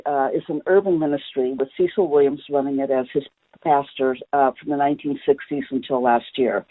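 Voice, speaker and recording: A man presenting slides in a webinar in an explanatory manner, pausing often with "uh". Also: on the high side, no echo. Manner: explanatory, with frequent "uh" fillers. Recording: tinny phone-line webinar audio.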